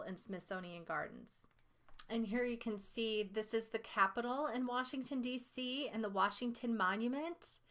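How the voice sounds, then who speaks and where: A woman's voice: on the high side, a bit bright, clean tone. A woman teacher reading lesson material aloud and explaining it, with casual asides. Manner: instructional, explanatory.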